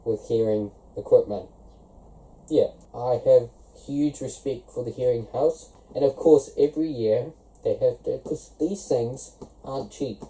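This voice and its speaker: A young man from South Africa talks straight to the camera in a relaxed vlog. His voice is deep-toned and very mellow, sitting at an ordinary pitch for a man. He explains things one step at a time and links his points with easy "so" and "of course" phrases. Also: low-quality recording. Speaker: vlogger. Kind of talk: casual explanatory vlog talk.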